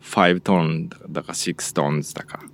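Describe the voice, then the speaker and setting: A man's voice: rumbling low, moderate pace, no echo. A man hosting a podcast, chatting casually and nodding along with short murmured replies. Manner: relaxed, frequent short backchannels. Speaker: podcast host. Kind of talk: casual chat.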